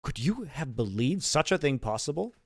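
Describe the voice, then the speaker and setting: A man's voice: fairly high pitch, very animated, normal speed, husky, no room sound, studio quality, voice pushed up, exaggerated voice. A man voicing a hearty, earnest shipowner in an audiobook, courteous and formally worded. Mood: incredulous.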